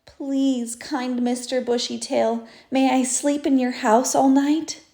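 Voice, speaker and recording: Casting: little rabbit boy played by a woman, earnest, childlike, higher-pitched, storybook read-aloud, roomy sound. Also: flat delivery, slow pace, warm tone. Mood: polite pleading. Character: little male rabbit, young, polite, pleading, wishful.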